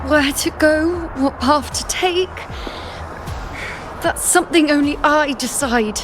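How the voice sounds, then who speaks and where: An actress voicing a proud, defiant young priestess in a game, speaking slowly and softly. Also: female voice, high-pitched, music in the background. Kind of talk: game voice acting.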